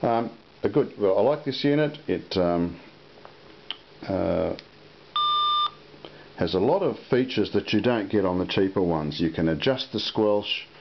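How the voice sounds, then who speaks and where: A man with a deep, low voice talks through a product casually and without a script. He speaks haltingly and takes his time. The recording is muffled and lo-fi, with a clear hiss behind him. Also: animated. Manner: halting, unhurried. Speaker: product reviewer. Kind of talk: casual unscripted product demonstration. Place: indoor room at a table.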